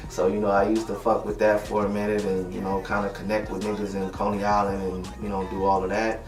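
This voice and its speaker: A young man talking casually in an interview, slangy and laid-back, often asking "you know what I mean.". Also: sits low. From Detroit, USA. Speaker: interviewed rapper. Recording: roomy, distant mic, music behind.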